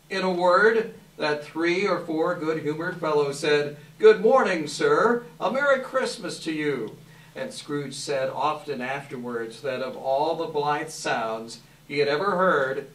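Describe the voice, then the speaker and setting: A man narrates a story on stage in a readers theater. His voice sits a little high and comes from some distance in an echoey hall. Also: fairly bright tone. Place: live event hall.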